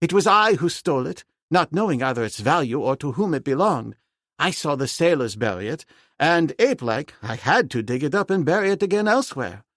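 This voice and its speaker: A man reading an audiobook, voicing a calm, decisive young man raised in the jungle who speaks plainly. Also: fairly high pitch, a little fast, dry recording, clean studio sound, pitched above the performer's own voice. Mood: firm. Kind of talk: audiobook character voice.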